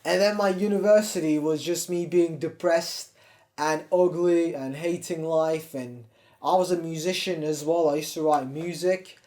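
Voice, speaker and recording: A young man from Turkey who speaks English with an American-sounding accent, in a slightly higher-than-usual register. He talks in a loose confessional monologue, blunt and full of swearing, restarting his sentences as he goes. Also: steady tone, normal speed, a little mellow. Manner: blunt and profane, rambling with many restarts. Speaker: self-improvement YouTuber.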